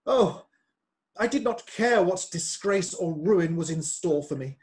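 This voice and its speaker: A man's voice: high voice, expressive, a little slow, a little mellow. A man playing a fervent, troubled politician and devoted husband in a period radio play. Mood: relieved. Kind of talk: amateur radio play acting of a period drama.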